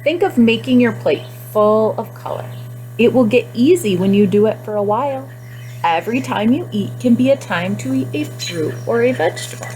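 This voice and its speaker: A woman's voice: a little high, normal speed, slight background noise, lightly acted, low-quality recording. A woman voicing an encouraging, helpful female rabbit who teaches children in a storybook. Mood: encouraging, instructive. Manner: instructive, encouraging, expressive.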